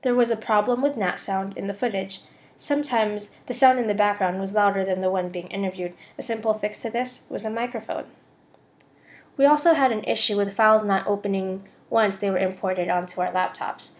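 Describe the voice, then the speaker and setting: Young woman, American accent, medium pitch, student presenter, scripted presentation voice-over. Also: brisk. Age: young adult.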